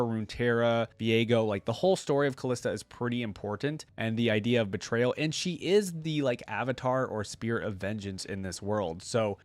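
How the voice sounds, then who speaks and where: A man's voice: medium pitch, dry recording. A man hosting a reaction video, giving casual, rambling off-the-cuff commentary to viewers with lots of "like". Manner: rambling, conversational, filler-heavy with "like".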